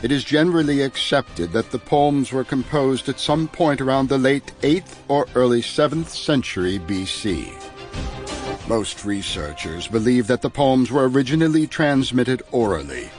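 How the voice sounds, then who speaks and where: Lively male audiobook narrator over music. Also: medium pitch, normal speed. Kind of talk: scripted narration of a literary introduction.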